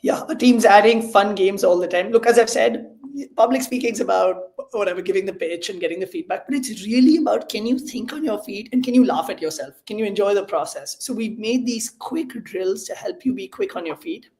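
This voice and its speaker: A man's voice: sits high, a little fast, few pauses, a little mellow. Indian man with roomy echo. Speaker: guest, startup CEO. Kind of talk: casual conversational explaining.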